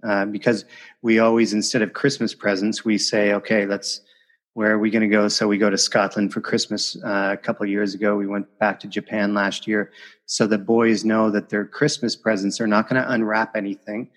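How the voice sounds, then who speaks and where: A man in his forties from Canada hosting a podcast interview, telling stories in a relaxed, reflective way that trails off softly. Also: on the low side, fairly quick. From Windsor, Ontario, Canada. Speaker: podcast host. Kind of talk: casual interview chat.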